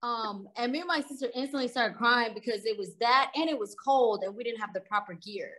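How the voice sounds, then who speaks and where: A woman's voice: a little high, fairly quick, bright, forceful tone. A woman in her thirties from Sudan, a native Arabic speaker, chatting as an interview guest and laughing easily as she tells her story. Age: 30s. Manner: chatty, laughs while telling stories.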